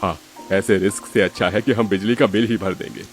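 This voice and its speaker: A man's voice: fairly low pitch, fairly even intonation, a little fast, faint music behind, lightly acted. A man voicing a stubborn, easygoing father-in-law in a Hindi cartoon story. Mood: resigned.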